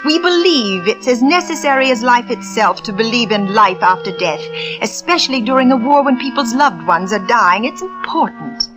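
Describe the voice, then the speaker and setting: A woman's voice: a little high, lively intonation, moderate pace, over music, lightly acted. A woman plays a greedy fake medium from an old-time radio drama. She sounds cold and domineering, with a cutting edge to every line. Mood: solemn. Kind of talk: 1940s radio drama acting.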